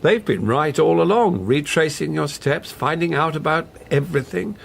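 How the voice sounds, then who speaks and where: An actor playing a contrite, flustered English husband in his sixties, halting and apologetic, in a naturalistic radio drama. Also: a little high, animated, creaky. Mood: rueful. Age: 60s.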